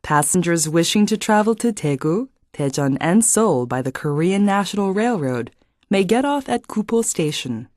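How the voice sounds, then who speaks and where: A woman reading formal scripted subway announcements in a measured, deliberate manner. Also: lowish voice, lively intonation, darkish tone, dry recording, studio quality.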